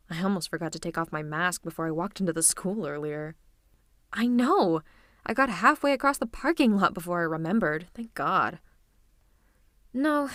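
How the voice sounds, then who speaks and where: A woman's voice: mid-range voice, no room sound, studio quality. A woman playing a playful female supervillain, affectionate and teasing with theatrical menace, in an ASMR roleplay. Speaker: voice actor. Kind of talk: ASMR roleplay acting, one-sided conversation.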